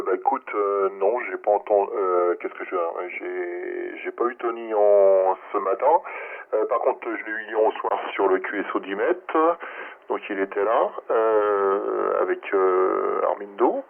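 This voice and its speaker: A man's voice: lowish voice, creaky. A man chatting informally and jokingly as an amateur operator over a narrow radio link. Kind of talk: casual radio chat.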